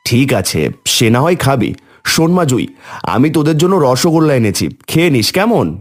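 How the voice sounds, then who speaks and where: A man playing an affectionate uncle in a cartoon story, speaking in a gentle, familial way. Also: mid-range voice, very animated, a little fast.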